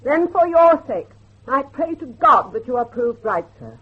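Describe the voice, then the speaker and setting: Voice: sits high, sing-song, measured pace, slightly rough, full character voice. An actor playing a pious old grandmother in a period horror audio drama, solemn, impassioned and formal. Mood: grave, foreboding. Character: fervent, pious old grandmother guarding a dark family secret. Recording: dull lo-fi audio.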